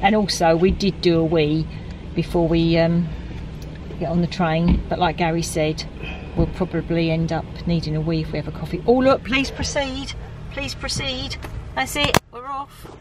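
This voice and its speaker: A woman's voice: lowish voice, normal speed. A woman chatting casually while vlogging a trip, walking and talking through a terminal and a car. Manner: chatty, giving a walking tour. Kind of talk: casual travel vlog narration. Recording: loud road and car noise.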